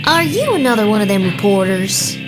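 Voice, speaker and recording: A woman's voice: medium pitch, big pitch swings, brisk, close to an everyday voice. Actress as a scornful, swooping small-town woman. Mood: wary, scornful. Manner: brusque, dismissive. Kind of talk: animated game cutscene acting. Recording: loud guitar music behind voice.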